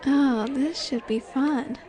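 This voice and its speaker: Faint bar chatter sits behind a very high female voice. It is a woman performing a flirtatious werewolf alpha in a roleplay audio drama, sure of herself and keen to take control. She speaks slowly and teasingly, with a sultry, dominant confidence aimed straight at the listener. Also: warm tone. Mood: intrigued, seductive. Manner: teasing, sultry, unhurried with drawn-out pauses.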